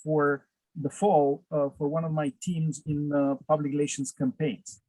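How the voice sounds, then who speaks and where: A man's voice: a little high, flat delivery, a little slow, dark tone, dry recording, low-quality recording. A man from Romania with a Romanian accent, a non-native English speaker, talking over a video call and leaning on frequent "uh" fillers.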